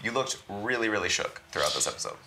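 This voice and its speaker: Lively, lowish-voiced male interviewer. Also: fast, vocal fry, noisy background. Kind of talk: casual group interview.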